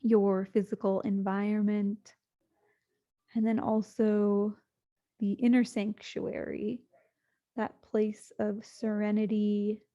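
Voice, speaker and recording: A woman guides a sleep meditation in a soothing, gently paced voice with long pauses. Her pitch stays flat and even. The recording sounds slightly muffled and lo-fi.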